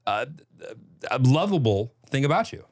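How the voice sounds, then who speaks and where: A man's voice: mid-range voice, big pitch swings, normal speed, a little mellow, no room sound, studio quality. A man in his thirties co-hosting a podcast, teasing his fiancée affectionately in casual chat. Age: 30s. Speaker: podcast co-host.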